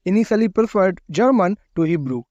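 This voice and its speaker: A man giving scripted documentary narration in a steady, measured read, his phrases falling at the ends. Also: sits high, no room sound, muffled recording.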